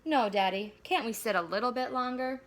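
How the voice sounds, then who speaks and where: A woman voicing an eager, excitable young boy from a storybook, exclaiming and pleading. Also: on the high side, a little fast, close to an everyday voice.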